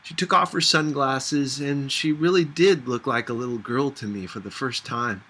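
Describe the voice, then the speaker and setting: Husky-voiced man reading a story. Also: mid-range voice, normal speed, sharp tone. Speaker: audiobook reader. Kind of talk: first-person story narration, reading aloud.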